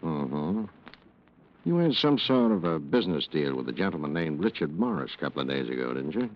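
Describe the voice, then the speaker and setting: An actor playing a dry, probing police lieutenant in 1940s radio noir style, deliberate and understated. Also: male voice, extremely low pitch, very animated, heavily acted. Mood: coolly probing. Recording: dull vintage radio recording.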